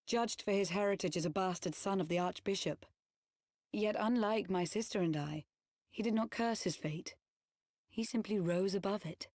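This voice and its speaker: A woman playing a composed, earnest ex-spy turned loyal knight in a video game cutscene, speaking in formal, measured, archaic phrasing. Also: fairly low pitch, a little mellow, no echo, studio quality, lightly acted. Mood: quiet devotion.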